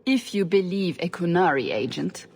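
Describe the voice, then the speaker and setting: An actress playing a stern, skeptical interrogator with a pointed manner in a video game. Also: female voice, fairly low pitch, expressive, moderate pace, darkish tone, slightly rough. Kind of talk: video game cutscene acting.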